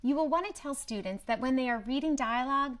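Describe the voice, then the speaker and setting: A woman presenting a promotional training video in a scripted, clearly structured explanatory way. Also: sits high, quick pace. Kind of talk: scripted instructional narration.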